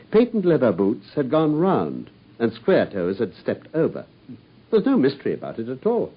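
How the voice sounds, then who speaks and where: A man's voice: medium pitch, slightly rough. An actor playing a brilliant, self-assured Victorian detective who explains his deductions in a confident, lecturing manner, in a radio drama. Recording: dull lo-fi radio sound.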